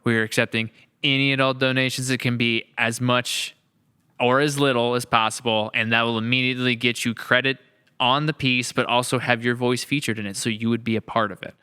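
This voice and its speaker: A man's voice: mid-range voice, fairly even intonation, a little fast, sharp tone, smooth. A man hosting a podcast in casual chat, effusive and rambling as he talks. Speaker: podcast host.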